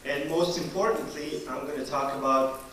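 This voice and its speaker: A man from Georgia with a Georgian accent, a non-native English speaker doing a deliberate, self-deprecating stand-up comedy routine. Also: on the high side, fairly even intonation, a bit bright. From Georgia (the country). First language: not English. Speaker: stand-up comedian, teaching assistant. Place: live stage performance in university room. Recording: echoey room, distant mic, audience noise.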